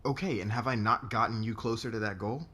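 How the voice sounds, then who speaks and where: A man playing a demanding, defensive boss with a hot temper and a hidden crush, halting and intense, in an ASMR romance roleplay. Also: mid-range voice, bright, forceful tone, breathy edge. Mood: pushy, insistent.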